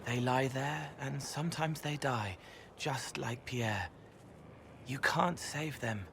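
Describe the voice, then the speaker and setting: Dreamy young spirit guy, slow and soft, kinda halting. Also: mid-range voice, background noise. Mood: gentle. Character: gentle young male spirit, soft and strange.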